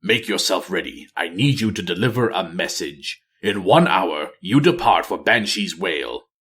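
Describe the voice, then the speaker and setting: A man voicing a cold, sneering dark commander in a fantasy storybook, curt and contemptuous. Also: low voice, animated, unhurried, voice pushed down, full character voice. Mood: stern, commanding.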